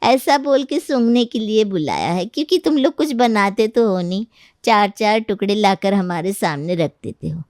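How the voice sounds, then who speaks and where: A woman voicing a stubborn older mother-in-law in an animated family story, scolding and sarcastic. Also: medium pitch, moderate pace, dry recording, clean studio sound, close to an everyday voice. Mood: scornful.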